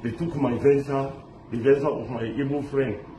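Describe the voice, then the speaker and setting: A middle-aged man from Lebanon recounts his ordeal, excited and halting, often restarting his sentences and dropping in pidgin words. His mid-pitched voice echoes around him, and loud street ambience fills the background. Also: a little hiss or hum. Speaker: interviewee recounting a kidnapping. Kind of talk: animated storytelling, mixing in pidgin words.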